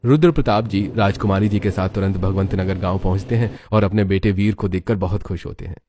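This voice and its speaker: A man's voice: low voice, brisk, background noise. A man narrating a scripted moral story as its storyteller.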